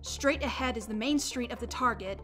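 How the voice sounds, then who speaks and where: An actress playing a crisp, dutiful military pilot in a radio drama. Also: female voice, a little high, normal speed, music in the background, natural voice. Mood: urgent.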